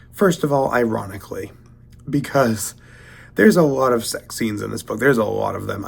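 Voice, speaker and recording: A man's voice: medium pitch, vocal fry, husky. A man getting over a cold, giving a casual spoken book review in a hesitant, rambling way as he searches for words. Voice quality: slightly stuffy voice.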